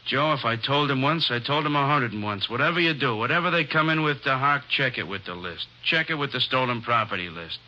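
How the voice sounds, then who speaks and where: An actor playing a fretful, chatty middle-aged pawnbroker, complaining in an exasperated, rambling way, in 1950s radio drama style. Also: male voice, mid-range voice, a little fast, hardly any pauses, sharp tone. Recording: muffled vintage radio broadcast.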